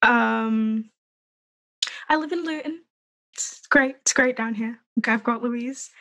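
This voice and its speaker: A young woman hosting a podcast over a video call, bubbly and laughing, with quick stop-start phrasing. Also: on the high side, sharp tone, rough recording. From Trinidad. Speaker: podcast host. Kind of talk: casual chat.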